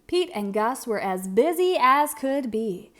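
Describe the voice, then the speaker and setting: A woman's voice: fairly high pitch, sing-song, unhurried. A woman narrating a children's read-aloud story expressively, with dramatic emphasis.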